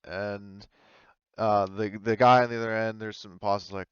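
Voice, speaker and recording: A man with a low, smooth voice narrates a tabletop roleplaying session as game master. He talks casually and haltingly, feeling for the next word. The sound is close and dry, a little muffled. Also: fairly even intonation, a bit bright, dry recording. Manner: halting, searching for words.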